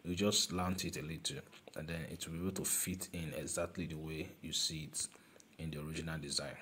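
Guy walking you through a design app, low voice, calm step by step talk, bit of background hiss. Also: brisk. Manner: instructive, repeats steps, calls objects "this guy". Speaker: design tutor. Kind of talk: screen-recorded software tutorial.

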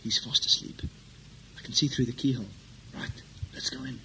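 A man's voice: medium pitch, fairly even intonation, a little fast, vocal fry, very noisy, natural voice. A man playing a furtive, flustered conspirator in a comic radio melodrama, hesitant and stagey. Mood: hushed, furtive. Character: furtive, flustered male conspirator in a mystery parody.

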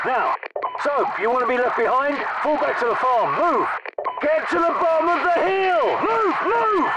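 An actor playing a gruff, commanding British special forces captain in a video game, giving terse, urgent combat orders. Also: male voice, very high-pitched, far from the mic, very noisy. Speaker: military squad leader in a video game. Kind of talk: video game battle chatter.

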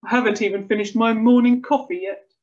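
A woman's voice: a little high, steady tone, normal speed, darkish tone, lo-fi sound, lightly acted. A woman voicing a grumpy, put-upon husband and dad in a children's storybook, muttering his complaints. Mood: grumbling. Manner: grumbling, muttering.

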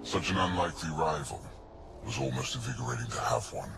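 An actor playing a cold, arrogant alien warlord in a game, gravelly, slow and imperious, with contempt in his delivery. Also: a bass voice, sing-song, heavily acted. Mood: scornful, menacing. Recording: loud music underneath.

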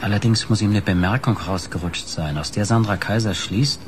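An actor playing a sardonic, dogged investigator with a teasing, insistent manner in a German radio crime play. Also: male voice, fairly low pitch, moderate pace, noisy background, lightly acted. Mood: eager, teasing. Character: sardonic, dogged male investigator pressing for answers.

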